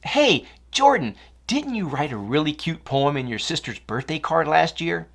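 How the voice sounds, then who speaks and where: A woman voicing a kind, upbeat young schoolgirl in a children's story, speaking with animated encouragement. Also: low voice, normal speed, creaky, pitched above the performer's own voice, full character voice. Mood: excited encouragement.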